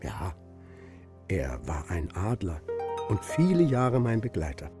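An actor playing a gruff, stubborn old shepherd grandfather who speaks tersely and bluntly in a children's radio play. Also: sits low, very animated, slow pace, over music, exaggerated voice. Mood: quiet, secretive. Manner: terse, blunt, answers with counter-questions.

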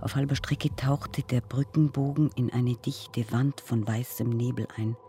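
A man performing as a brooding narrator of an eerie journey, with deliberate, drawn-out phrasing in an experimental radio play. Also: medium pitch, fairly even intonation, warm tone, slight background noise, lightly acted. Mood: ominous, intent. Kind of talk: dramatic literary recitation in an experimental radio play.

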